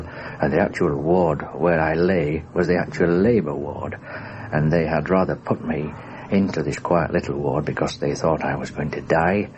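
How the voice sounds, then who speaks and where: Very deep-voiced man, careful speech. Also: a little mellow, lo-fi sound. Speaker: former RAF airman recalling his war experience. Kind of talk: documentary interview reminiscence. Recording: faintly hissy 1960s radio recording.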